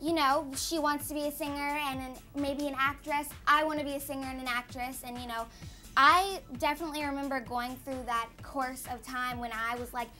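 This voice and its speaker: Bright, high-pitched American teenage girl. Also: steady tone, fairly quick, faint music behind. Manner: bubbly, peppered with "like". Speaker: interview guest, young actress. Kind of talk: casual chatty interview answers. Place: small TV studio lounge. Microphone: lapel mic.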